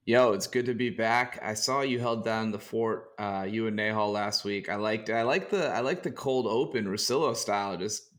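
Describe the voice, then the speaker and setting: A young man co-hosting a casual sports podcast, hoarse and raspy from a sinus infection, talking in a relaxed, jokey way. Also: fairly low pitch, moderate pace, few pauses. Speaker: co-host.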